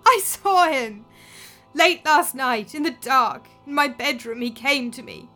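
A woman's voice: high voice, animated, measured pace, sharp tone, faint music behind, voice pushed up, heavily acted. A woman voicing a grief-stricken young woman who screams and sobs furious accusations. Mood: tearful, frantic.

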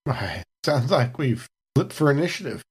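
A man's voice: medium pitch, sing-song, normal speed, slightly rough. A man playing a tabletop game, casually describing what his character does.

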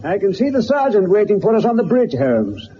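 A loyal, eager sidekick in a detective radio drama. His voice is high for a man and very animated, with an obliging manner. The recording is dull. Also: brisk, slight background noise. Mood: eager, puzzled.

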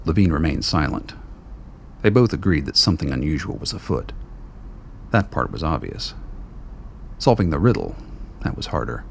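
A man narrating a radio drama in a steady, deliberate storytelling manner. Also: extremely low pitch, vocal fry, a little hiss or hum. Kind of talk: scripted narration.

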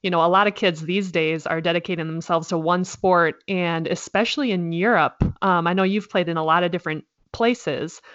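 A woman's voice: on the low side, normal speed, few pauses, fairly bright tone, no echo, highs cut off. A woman from Minnesota interviewing a guest, asking friendly, curious questions in a conversational way.

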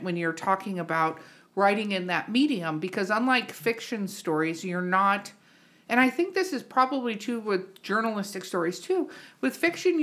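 A woman's voice: medium pitch, a bit bright. A woman hosting a podcast interview in a casual, informal and teasing way. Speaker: podcast host.

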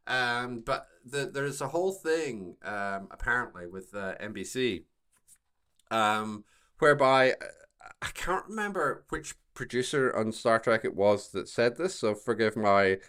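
A man hosting a podcast, casually discussing a TV show in wordy, halting phrases full of repeated words. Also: mid-range voice, expressive, a bit bright, smooth. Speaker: podcast host.

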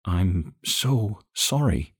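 A man's voice: on the low side, big pitch swings, normal speed, a little mellow, slightly rough, no room sound, clean studio sound, voice pushed up. A man playing an uneasy, guilt-ridden character in an audiobook, hesitant, pleading and defensive.

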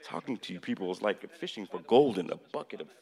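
An actor in a radio play voicing a measured, exasperated president who lectures in halting, emphatic phrases. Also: male voice, deep voice, expressive, a little mellow. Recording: long hall-like reverb.

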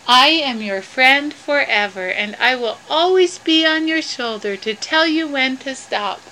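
A woman's voice: sits high, animated, unhurried, bright, forceful tone, slight background noise, full character voice, poor audio. A woman voicing a tiny, sensible, loyal friend in a storybook tale, explaining things patiently and emphatically. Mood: loyal, instructive. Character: tiny, sensible, loyal little shoulder-riding friend Inchi.

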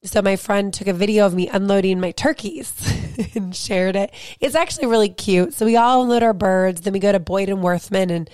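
A hoarse woman from Ohio with a husky voice, co-hosting a casual chat podcast and rambling through stories. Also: medium pitch, a little fast, hardly any pauses, darkish tone, no echo. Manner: chatty, rambling storyteller. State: hoarse, losing her voice. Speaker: podcast co-host.

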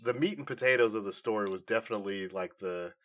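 A man's voice: fairly low pitch, fast talker, fairly bright tone. A man chatting casually and giving opinions as a podcast co-host, with slangy, conversational phrasing. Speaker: podcast co-host reviewing nerd culture. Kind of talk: casual review chat.

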